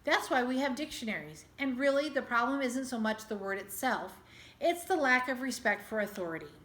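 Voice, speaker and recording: A woman's voice: fairly high pitch, expressive, fairly quick, fairly bright tone. A woman reader voicing a formal, stern but easily flustered female school principal in a storybook. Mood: measured, firm. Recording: echoey room.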